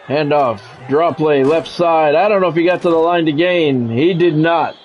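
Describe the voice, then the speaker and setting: A man's voice: a little high, moderate pace, a little mellow, muffled recording, slight background noise. A man doing football play-by-play for a video game stream in a conversational, blunt way. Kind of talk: football play-by-play commentary with casual reactions.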